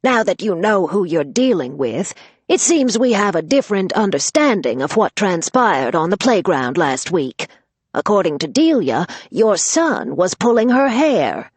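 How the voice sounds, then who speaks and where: A woman voicing a fierce, sharp-tongued older grandmother who scolds and commands. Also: mid-range voice, very animated, measured pace, husky, dry recording. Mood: scolding.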